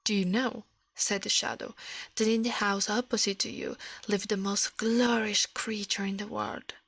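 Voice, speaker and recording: A woman reading a story aloud in ASMR style, in a soft breathy whisper, halting now and then over the words. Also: mid-range voice, steady tone. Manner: halting reading with stumbles and self-corrections.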